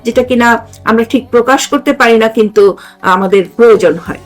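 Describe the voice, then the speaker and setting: A woman's voice: fairly high pitch, a little fast, faint music behind. An older woman with a Bangladeshi accent hosting a conversational discussion, emphatic and often repeating herself to seek agreement. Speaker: talk show host, retired judge.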